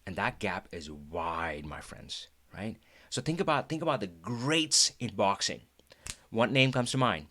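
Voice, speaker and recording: A middle-aged man from India with an Indian accent, Hindi his first language, hosting a live talk in a chatty, animated way with frequent laughs. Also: on the low side, a little slow. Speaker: host.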